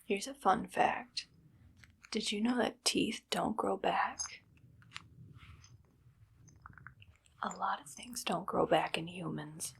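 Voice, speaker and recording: A woman playing a menacing, condescending evil doctor in a soft, whispered ASMR roleplay, speaking close to the mic with deliberate phrasing. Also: medium pitch, vocal fry, a little hiss or hum. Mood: quietly menacing. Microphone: close ASMR microphone.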